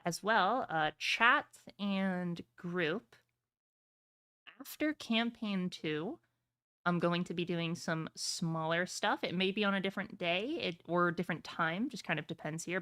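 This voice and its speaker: A woman's voice: on the low side, sharp tone, clear, resonant tone. A woman game master chatting casually and informally to her players and stream viewers, swearing now and then. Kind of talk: casual chat wrapping up an online tabletop game session.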